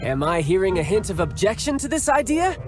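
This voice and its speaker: A man's voice: high-pitched, sing-song, a little fast. A man voicing a cocky, playful young commander in a game cutscene, confident in speeches and fumbling his cover story, with light music behind. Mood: cheerful. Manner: confident speechmaking with awkward improvised cover stories. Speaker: young military commander posing as a toy seller. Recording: soft game music underneath.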